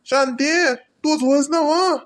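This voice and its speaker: A man's voice: very high voice, takes it slowly, very noisy. A man playing a playful older village husband and father in a comedy cartoon, with a Varhadi Marathi accent and a folksy, rambling, mock-complaining way of talking. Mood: amazed, mock-complaining. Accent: Varhadi Marathi from Vidarbha.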